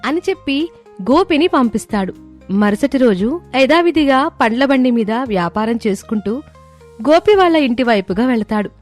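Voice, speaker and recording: A woman's voice: high voice, lively intonation, moderate pace, darkish tone, faint music behind. A woman storyteller reading scripted narration for a moral story.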